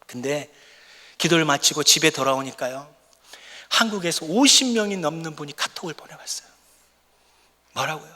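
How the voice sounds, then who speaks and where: A man who is a missionary, hoarse from a lingering cold, giving a fervent, impassioned mission report in church. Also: on the high side, moderate pace, pauses often. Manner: fervent, impassioned preaching that swells to a shout. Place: church sanctuary. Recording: reverberant church hall.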